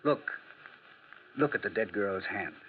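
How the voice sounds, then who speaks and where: A man playing a clever, self-assured French detective in a 1940s radio drama, with a theatrical, drily ironic, deliberate delivery. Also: on the low side, animated, slightly rough. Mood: self-assured, emphatic. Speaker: actor playing detective and narrator. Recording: muffled 1940s radio recording.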